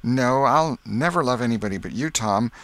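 A man's voice: mid-range voice, lively intonation, moderate pace, fairly bright tone, no room sound, pitched above the performer's own voice. A man voicing a shy, sweet, naive little schoolgirl in a storybook, with a timid, hesitant manner.

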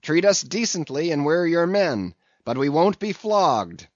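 A rough sailor ringleader, as a male reader puts him on, stands his ground with forceful, defiant speech. His voice sits a little above the reader's own. The recording is dull and dry. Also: on the high side, sing-song, measured pace, dry recording, pitched above the performer's own voice.

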